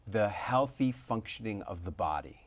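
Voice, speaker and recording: A man's voice: lowish voice, animated, normal speed. A man hosting an interview, asking leading questions and opening with praise for his guest. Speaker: interview host.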